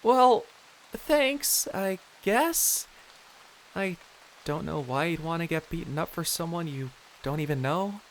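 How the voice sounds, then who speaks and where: A bullied kid in an audio drama. He is timid and puzzled, slow to get his words out, with swinging pitch. Light rain hiss lies behind. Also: expressive, rough recording. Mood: hesitant. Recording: hissy rain noise behind.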